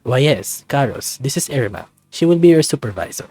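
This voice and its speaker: A man voicing a caring, gently bossy male lab colleague in a visual novel. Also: medium pitch, big pitch swings, a little fast, slightly rough, no room sound, soft background music. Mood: proud, polite.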